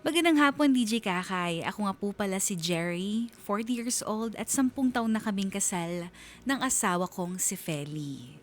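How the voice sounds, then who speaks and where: A woman hosts a radio storytelling show in a medium-pitched voice. She narrates a letter dramatically, with heartfelt pauses. Soft music plays underneath. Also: animated. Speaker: radio host.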